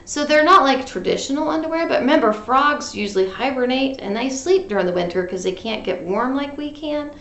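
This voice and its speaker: A woman's voice: high voice, animated, a little fast, hardly any pauses, fairly bright tone, echoey room. A woman reading a picture book to children in a playful, interactive way, coaxing listeners along. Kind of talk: animated children's read-aloud with sung refrains and asides.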